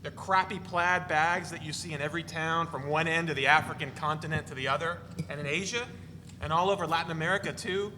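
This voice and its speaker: A man's voice: a little high, brisk, slight background noise. An actor reading the part of a joking young man who spins tall tales over dinner, recorded live in a reverberant hall. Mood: teasing. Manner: bright, teasing, rambling banter. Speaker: reader in a staged reading.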